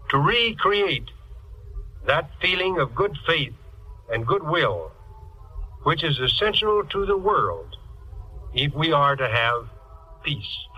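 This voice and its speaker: A man giving a formal, deliberate scripted appeal in the manner of a 1950s radio address, on an old broadcast recording. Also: fairly high pitch, bright, forceful tone, husky, rough recording. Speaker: charity official making a public appeal.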